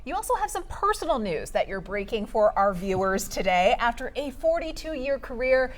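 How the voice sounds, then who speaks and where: A woman's voice: on the high side, sing-song, moderate pace. American woman anchoring TV news. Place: TV studio.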